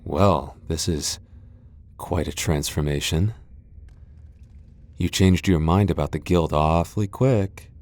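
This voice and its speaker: A proud vampire lord played by a man in an ASMR roleplay drama. His voice sits extremely low and has a dark, mellow tone. He comes across as a guarded loner, sardonic and haughty, reluctant to let anyone close. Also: slow, long pauses. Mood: wary, reluctant.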